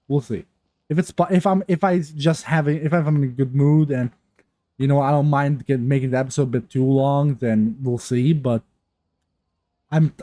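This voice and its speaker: A man's voice: mid-range voice, dark tone, no echo. Stuffy-nosed man rambling over gameplay. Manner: rambling, many restarts and fillers. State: stuffy nose from a cold. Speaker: gaming youtuber. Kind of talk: casual gameplay commentary. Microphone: new mic, untuned.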